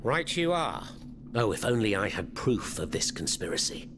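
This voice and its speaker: Theatrical French revolutionary, animated swooping pitch. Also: male voice, moderate pace, noisy background. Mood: conspiratorial. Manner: theatrical, florid, eloquent. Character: theatrical, fervent male French revolutionary hiding underground. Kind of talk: video game cutscene acting.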